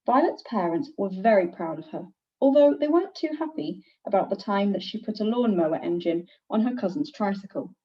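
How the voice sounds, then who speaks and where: A woman reading a children's picture book aloud in an expressive, deliberate teacher's storytelling manner. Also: medium pitch. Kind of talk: scripted picture-book narration. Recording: distant, slightly dull microphone.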